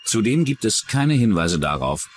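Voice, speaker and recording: Low-voiced, animated male documentary narrator. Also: normal speed, slight background noise. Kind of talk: scripted true-crime narration.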